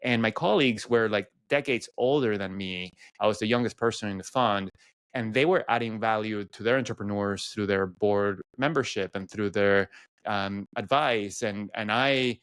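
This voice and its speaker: Smooth, low-voiced man from Venezuela. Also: normal speed, a bit bright, no room sound. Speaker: podcast guest. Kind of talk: personal storytelling in an interview.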